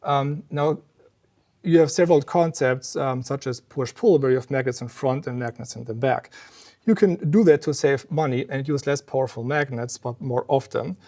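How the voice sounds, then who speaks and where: A man's voice: mid-range voice, normal speed, darkish tone. Man explaining technical gear in an interview. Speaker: loudspeaker company representative explaining a product. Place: exhibit room at an audio trade show.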